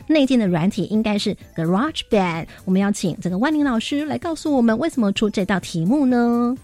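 A woman's voice: a little high, expressive, brisk, darkish tone, soft background music. A woman with a Taiwanese Mandarin accent hosting an educational radio show, chatty and explanatory, speaking directly to listeners. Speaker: radio host.

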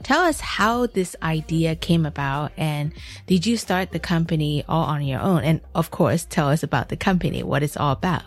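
A woman hosts a relaxed interview, her voice sitting a little low for a woman. She keeps a friendly flow of questions and "mm-hmm"s, and faint music runs in the background. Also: moderate pace. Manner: warm backchannels, frequent "mm-hmm", friendly questions. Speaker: podcast host. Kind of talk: casual interview chat.

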